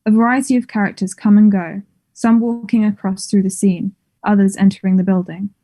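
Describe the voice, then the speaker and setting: A woman narrates a play script at a table read. Her voice is mellow and mid-pitched, setting out scene descriptions and stage directions as plain scripted narration. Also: steady tone, a little fast.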